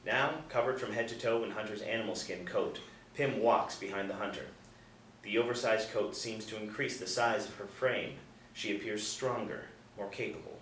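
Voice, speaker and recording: A man's voice: lowish voice, steady tone, moderate pace, a bit bright. A man reading stage directions as scripted narration at a table reading. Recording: roomy, distant mic, faint noise.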